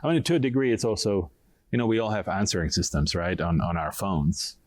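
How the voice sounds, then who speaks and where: Enthusiastic male conductor in relaxed conversation, low pitch, effusive delivery. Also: brisk, no echo, clean studio sound. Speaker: orchestra music director and conductor. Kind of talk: casual conversation about classical music.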